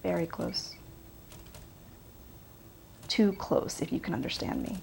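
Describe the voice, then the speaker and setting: A woman's voice: on the low side, slow pace, vocal fry, darkish tone, heavily acted. A woman acting as a defiant, bitter ex-girlfriend under police questioning, blunt and sardonic in a naturalistic film style. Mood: insinuating. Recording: faint background noise.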